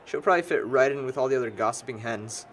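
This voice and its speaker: A man's voice: mid-range voice, fast talker, slight background noise, lightly acted. A man playing a sarcastic, needling young man among longtime friends in an amateur audio drama.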